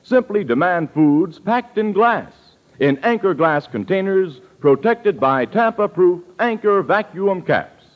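A man's voice: high voice, lively intonation, measured pace. An American man announcing on 1940s radio, reading scripted sponsor copy in a polished, declamatory way. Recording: dull old radio recording, music behind.

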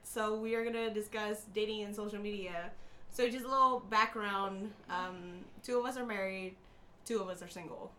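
Female podcast host, bright edge, blunt and loose. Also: mid-range voice, steady tone, normal speed, a little hiss or hum. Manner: blunt, swears freely, laughs often. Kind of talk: casual podcast chat.

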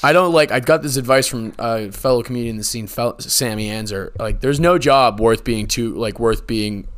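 A man in his twenties with a raspy voice, co-hosting a podcast in a loose, rambling way, often laughing. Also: medium pitch, normal speed. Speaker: podcast co-host. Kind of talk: casual chat.

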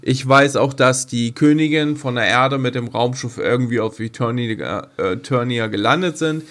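A man's voice: medium pitch, normal speed, a bit bright. Male podcast co-host, casual fan talk, direct and teasing delivery. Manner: blunt, pressing, teasing. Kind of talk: casual sci-fi fan chat.

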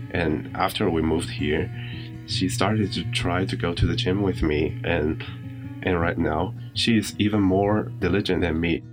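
Deep-voiced young man, reflecting casually. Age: young adult. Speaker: interview guest.

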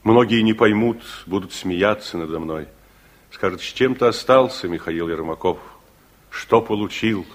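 Actor as husky, reflective old veteran. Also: male voice, on the low side, normal speed, close to an everyday voice. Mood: wistful, resolute. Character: elderly reflective war-veteran grandfather looking back on his life. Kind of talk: theatrical 1960s radio-play monologue and dialogue. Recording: 1960s radio drama recording with music.

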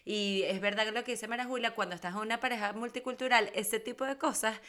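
A woman co-hosting a podcast in an expressive, colloquial, storytelling way. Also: on the high side, fast. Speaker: podcast co-host. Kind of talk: casual conversational podcast talk.

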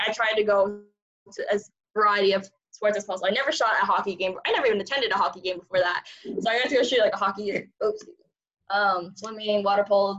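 A woman's voice: mid-range voice, fairly bright tone. Young woman, twenties, first language Cantonese; bubbly, rambling interview guest, slightly muffled lo-fi audio. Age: 20s. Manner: rambling, upbeat, laughs often, many "like" fillers. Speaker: journalism student guest. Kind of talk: casual interview chat.

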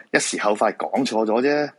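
A man's voice: fairly low pitch, lively intonation, unhurried, slightly rough. An actor playing a middle-aged feng shui master and proud father in a live radio drama, with a Hong Kong Cantonese accent. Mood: casual, defensive.

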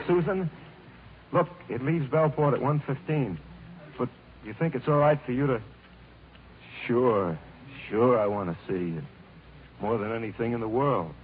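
An actor playing an earnest, bashful young American man in a mid-century radio drama, speaking haltingly with stammers. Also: mid-range voice, close to an everyday voice. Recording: noisy, narrow-band vintage radio recording.

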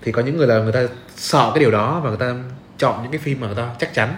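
A man's voice: mid-range voice, racing. A man hosting a casual conversational podcast, talking informally and often tossing in check-in questions. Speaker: podcast host. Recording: roomy echo, faint background noise.

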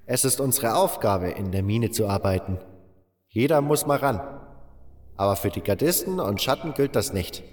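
A man's voice: on the low side, animated, normal speed, a little mellow, big-room sound, lightly acted. A man voicing an old, weathered, resigned miner with a fatherly, plain-spoken manner in an audiobook. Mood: wry, disdainful. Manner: seasoned, plain-spoken storyteller, addresses listener as a youngster. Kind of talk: audiobook character voice.

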